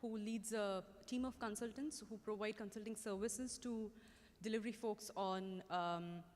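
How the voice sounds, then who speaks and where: Halting Indian-accented woman in echoey hall. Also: medium pitch. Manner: halting, many hesitations. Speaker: consultant on a panel. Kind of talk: panel self-introduction. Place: conference stage in a large hall.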